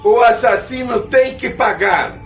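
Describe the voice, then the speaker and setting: A vengeful spirit, a wronged father in an audio drama. The voice is high and drawn out, anguished and defiant. It rings with echo on a dull recording. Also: creaky, fairly bright tone, faint music behind, full character voice. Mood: bitter, vengeful. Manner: slow, anguished, defiant delivery.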